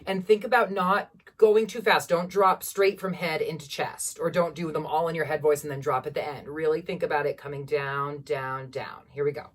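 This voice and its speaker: A young woman with a generally low voice, teaching a beginner singing lesson from a keyboard at home and encouraging students as she explains. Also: fairly even intonation, normal speed, few pauses, far from the mic. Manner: encouraging, demonstrates vocal sounds between explanations. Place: small room at home, seated at a keyboard.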